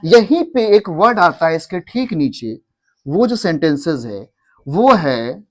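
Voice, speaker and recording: A man teaching English in to a class, repeating each phrase slowly for students to echo. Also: fairly high pitch, sing-song. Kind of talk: classroom English lesson in Hindi.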